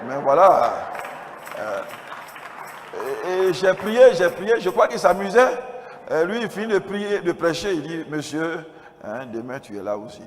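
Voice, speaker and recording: Ivorian pastor in a reverberant, noisy hall. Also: male voice, a little high, big pitch swings, unhurried, a faint crowd. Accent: Ivorian French. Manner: swings from quiet asides to loud exclamations. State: recovering from a cold and strained throat. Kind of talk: church convention address, testimony. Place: large church convention hall.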